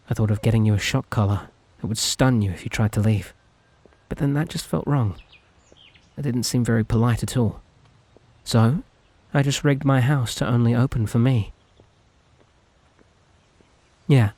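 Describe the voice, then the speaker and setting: A man's voice: mid-range voice, creaky, dark tone, dry recording. A British man playing a shy, lovestruck and possessive young kidnapper in an intimate ASMR roleplay, speaking earnestly and hesitantly to the listener. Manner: hesitant, earnest, politely sweet, rambling.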